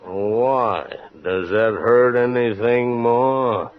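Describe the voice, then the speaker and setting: An actor playing a lazy, deadpan backwoods husband and father, in 1940s radio comedy. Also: mid-range voice, a little hiss or hum. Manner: deadpan, drawn-out. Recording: dull old radio recording.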